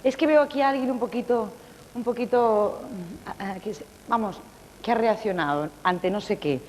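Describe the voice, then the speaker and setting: A woman's voice: fairly high pitch, normal speed, darkish tone, a little hiss or hum, low-quality recording. A woman hosting a TV variety show, questioning guests with playful, mock-indignant bossiness. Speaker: TV show host. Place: TV studio.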